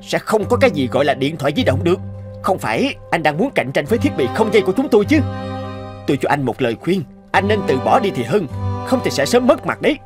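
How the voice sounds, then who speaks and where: A man's voice: a little high, big pitch swings, fast talker. A man playing an arrogant rival boss in a cartoon, mocking and condescending. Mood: scornful. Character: scornful, arrogant male rival research boss. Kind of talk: Vietnamese cartoon dub acting. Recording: music under the dialogue.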